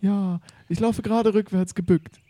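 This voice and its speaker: A man's voice: sits high, fairly even intonation, normal speed, mellow, pitched above the performer's own voice, close to an everyday voice. A man voicing a timid, overly polite male butler in a raised voice. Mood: whiny. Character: put-upon male butler.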